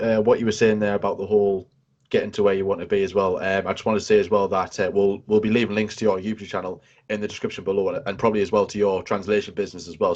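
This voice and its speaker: Chatty young man, low voice. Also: darkish tone, muffled recording. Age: 20s. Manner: chatty, stacks filler words and repeats himself. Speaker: show host interviewing a guest. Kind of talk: casual interview.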